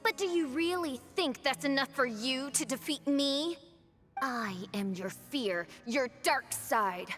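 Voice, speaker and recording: A woman's voice: high voice, takes it slowly, fairly bright tone, soft background music. A woman voicing a proud young princess in a video game, with a dramatic, declamatory and grandiose delivery. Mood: menacing, mocking. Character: proud, theatrical young princess facing her mocking dark self. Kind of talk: theatrical video game voice acting.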